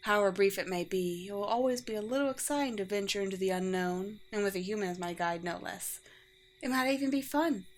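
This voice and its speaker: A woman playing a courteous, teasing, world-weary vampire queen in a roleplay audio drama, with formal, old-fashioned and gently wry phrasing. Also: mid-range voice, fairly quick, natural voice. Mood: gracious, playful. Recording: clear background noise with ambience.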